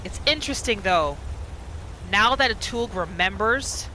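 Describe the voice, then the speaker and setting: A woman's voice: mid-range voice, expressive, measured pace, sharp tone, a little hiss or hum. A woman narrating a roleplay game playthrough, reacting with exclamations and talking to the game's characters. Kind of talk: reactive let's-play commentary.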